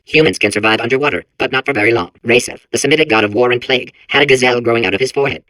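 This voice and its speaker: Video game robot core: smug, malfunctioning know-it-all, animated, emphatic announcer delivery, very dry sound. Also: male voice, mid-range voice, no room sound, low-quality recording. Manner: emphatic, crisply didactic, authoritative announcer delivery. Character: malfunctioning robot core reciting facts, smugly certain and eager. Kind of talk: rapid-fire fact recital, video game character.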